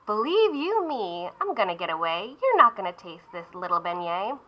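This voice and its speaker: A woman voicing a cheeky little pastry boy who giggles and sings taunts in a high voice. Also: wildly swooping pitch, unhurried, fairly bright tone, full character voice, low-quality recording. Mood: singing. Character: cheeky boy made of pastry, playful and mischievous.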